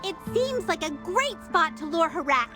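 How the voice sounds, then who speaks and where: A woman's voice: very high voice, big pitch swings, normal speed, over music. A woman voicing a small, proud and dutiful hamster knight in a video game, speaking earnestly. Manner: earnest, refers to self in third person. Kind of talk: scripted game voice acting.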